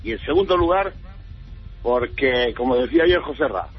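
A man's voice: mid-range voice, lively intonation, moderate pace, slightly rough. A man with an accent from Spain calling a radio show, blunt and wry in his casual opinions. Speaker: radio phone-in caller. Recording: dull radio call, music behind.

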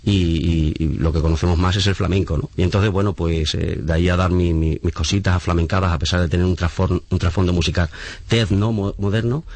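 Middle-aged male radio guest from Madrid, rapid rambling storyteller, dry close sound. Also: low-pitched, fairly even intonation, darkish tone, dry recording. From Madrid, Spain. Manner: rambling storyteller, tag questions, acts out quoted dialogue. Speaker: musician guest. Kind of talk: casual radio interview chat. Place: radio studio.

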